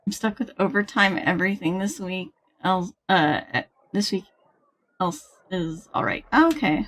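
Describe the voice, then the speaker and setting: A woman's voice: mid-range voice, animated, a little slow, a bit bright. A woman, chatting casually and in a friendly way as she streams a game. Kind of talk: casual livestream chat and game commentary. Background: faint game music.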